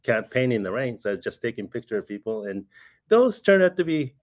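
A man in his fifties, born in Japan, talking about his career over a video call in a soft-spoken, hesitant way. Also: medium pitch, sing-song, fairly quick. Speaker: guest artist. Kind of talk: casual conversational interview. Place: home room, indoors.

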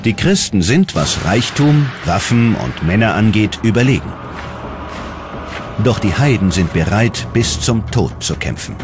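A man's voice: on the low side, big pitch swings, unhurried. A man reading scripted documentary narration in a dramatic, emphatic manner. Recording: loud music behind the voice. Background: loud music.

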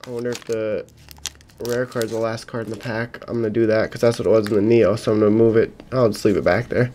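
A young man with a slightly raspy voice from a cold, giving casual, matter-of-fact commentary as he hosts an unboxing video. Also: fairly low pitch, steady tone, fast talker, warm tone. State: getting over a cold. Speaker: YouTube host opening trading card packs. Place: indoors at a table in a home room. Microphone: camera mic at about arm's length. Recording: noisy room, card and wrapper crinkling. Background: crinkling packs, clicks, faint noise.

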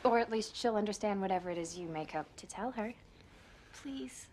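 A woman's voice: mid-range voice, a little fast, a little mellow, a little hiss or hum, close to an everyday voice. An actress playing a perky young newspaper woman who chats and coaxes a friend into a favor. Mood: coaxing. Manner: chatty, coaxing. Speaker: newspaper staffer. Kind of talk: TV drama acting. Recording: drama audio with music score.

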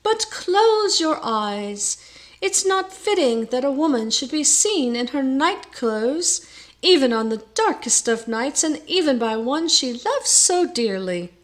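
Woman as sly man faking coy high falsetto. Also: very animated, slow, heavily acted. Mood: mock tender. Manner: high, breathy mock-tender coyness.

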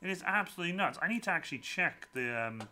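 A man hosting a live stream from a room at home, chatting casually with a guest and reading out viewer messages. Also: high-pitched. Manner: lively, chatty, quick asides to viewers. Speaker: streamer host.

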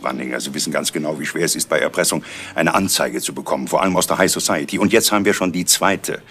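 An actor playing an earnest senior police inspector in a 1970s radio play, official and emphatic. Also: male voice, very deep voice, quick pace, fairly bright tone. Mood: insistent.

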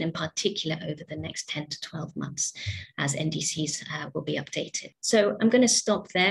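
A woman's voice: on the low side, gravelly, breathy edge, low-quality recording. A woman closing a formal conference presentation in an earnest manner.

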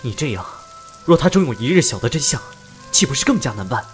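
A man's voice: mid-range voice, close to an everyday voice. Guy doing nosy wry immortal buddy, voice swings all over, fantasy radio play, music behind. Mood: incredulous, teasing. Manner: animated, wry, drawn-out musing. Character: chatty, nosy immortal friend, teasing and incredulous. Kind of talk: Chinese costume fantasy audio drama acting.

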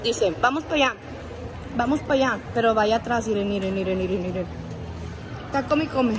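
A woman's voice: fairly high pitch, fairly quick, background noise. A young woman chatting casually to a phone at arm's length, playful and teasing, dropping English words into her talk. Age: young adult. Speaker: vlogger. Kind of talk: casual family vlog chat. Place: malls, restaurant and street. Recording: busy public spaces, music and crowd behind.

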